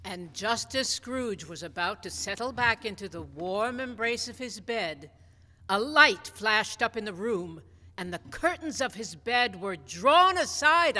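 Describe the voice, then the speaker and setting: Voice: medium pitch, pushed and bright. A narrator telling a story dramatically and expressively in a scripted radio play.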